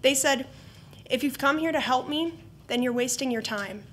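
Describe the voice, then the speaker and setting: A woman's voice: sits high, moderate pace, fairly bright tone. A young woman from Idaho, a college senior, giving an earnest prepared speech at a campus event. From Boise, Idaho. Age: young adult. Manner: earnest, reading from prepared remarks. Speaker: student speaker.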